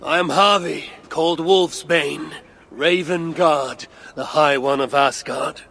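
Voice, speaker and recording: An actor playing a grave warrior god in a video game, formal and measured. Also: male voice, a little high, vocal fry, fairly bright tone, heavily acted. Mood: solemn. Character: solemn, grave Norse god warrior lord. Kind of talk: video game cutscene acting. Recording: long echoing reverb, faint ambient noise.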